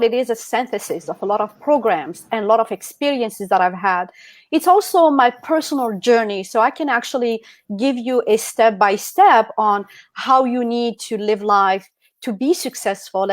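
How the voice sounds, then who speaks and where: A woman's voice: fairly high pitch, dry recording. A woman from Algeria giving an earnest talk as a guest speaker over a video call, with frequent fillers like "like" and "um".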